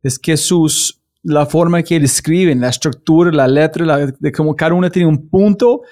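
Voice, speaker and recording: A male podcast host in casual interview style, animated and curious, asking questions in quick bursts. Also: fairly high pitch.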